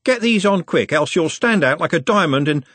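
A man plays a brash young working-class football fan in a storybook, pitched high and very animated. His talk is blunt, slangy and mocking, with a rough, husky edge, recorded close and dry. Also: normal speed, dry recording. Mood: bossy. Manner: slangy, blunt, matey banter.

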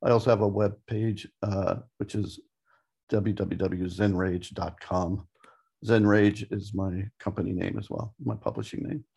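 A man's voice: fairly low pitch, steady tone, a little slow, gravelly, darkish tone, breathy edge. A man co-hosting an interview in casual conversation. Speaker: co-host, author and publisher.

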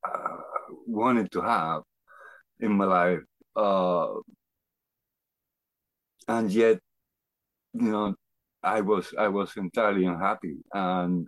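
Deep-voiced man from Argentina, halting and reflective. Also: no room sound, low-quality recording. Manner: halting, reflective, searching for words. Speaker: interview guest. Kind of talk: personal testimony in conversation.

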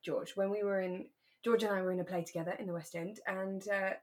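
A British woman chatting reflectively as a podcast co-host, in a relaxed conversation. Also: mid-range voice, a little fast. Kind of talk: relaxed conversational discussion of a radio drama.

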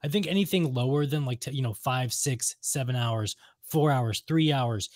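A man's voice: mid-range voice, normal speed, a little mellow, no room sound. Rambling, enthusiastic man chatting, close dry sound. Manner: rambling, enthusiastic, repeats himself for emphasis. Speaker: podcast co-host discussing video games and lore. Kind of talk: casual chat.

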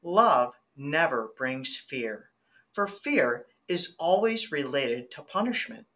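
A woman from Michigan, a guest on a faith podcast, chatting earnestly and reading scripture aloud. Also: low voice. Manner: earnest, animated, laughs while telling stories.

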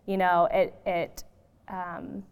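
A woman with a voice a little lower than most speaks as a podcast guest. Her delivery is conversational, earnest and reflective, as she builds her thoughts aloud. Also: flat delivery, slow pace, clean tone. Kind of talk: conversational discussion.

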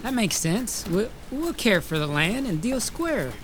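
A man's voice: high voice, very animated, measured pace, fairly bright tone, exaggerated voice. A man playing a bold, blunt, folksy logger from 1890s timber country in a film drama, over ambient noise. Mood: confident. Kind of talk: dramatic film script acting.

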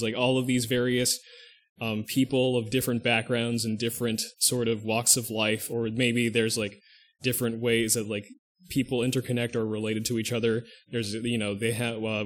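A man co-hosting a podcast in casual chat, rambling and often stopping to correct himself mid-sentence. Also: medium pitch. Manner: rambling, thinks aloud, restarts and self-corrects often. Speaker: podcast co-host.

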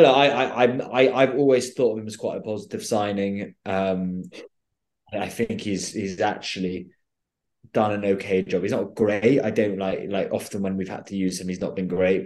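A young man with a fairly low voice talks football as a podcast guest. His speech is hesitant, with repeated words and stammered restarts. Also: fairly even intonation, moderate pace, darkish tone. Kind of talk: casual football podcast chat.

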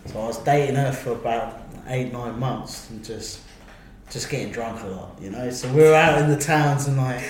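A man's voice: mid-range voice, moderate pace, crowd noise. An English man chatting casually on a podcast, rambling and restarting his sentences. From England. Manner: rambling, restarts, laughs often. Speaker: podcast host. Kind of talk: casual chat. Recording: very echoey room, faint ambience.

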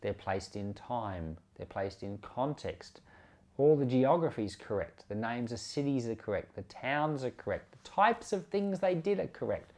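A man's voice: medium pitch, expressive, moderate pace, darkish tone. A man giving an informal Bible commentary as a pastor, conversational and emphatic, explaining things with examples. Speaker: pastor and Bible podcast host.

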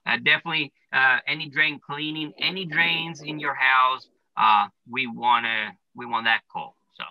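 Italian man with a bright, gravelly voice. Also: medium pitch, moderate pace, poor audio. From Italy. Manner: explains step by step with many "uh" and "you know" fillers. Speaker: plumbing business owner, guest. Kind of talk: casual interview answers.

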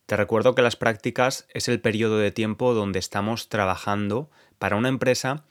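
A young man from Spain with a Spanish accent, hosting a podcast and explaining things clearly and calmly to Spanish learners. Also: fairly low pitch, normal speed. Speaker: podcast host teaching Spanish. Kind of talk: scripted podcast monologue for learners.

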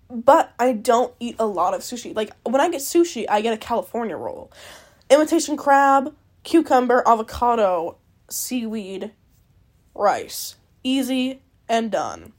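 A woman's voice: on the high side, darkish tone. A young woman from Canada hosting a podcast alone, rambling casually with many fillers and some swearing. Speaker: podcast host. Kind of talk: casual solo chat.

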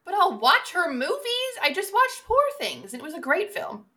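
A woman's voice: very high-pitched, sing-song, normal speed, bright, forceful tone. A woman co-hosting a podcast, chatting casually about films in an emphatic, opinionated and sweary way. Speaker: podcast co-host. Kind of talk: casual film chat.

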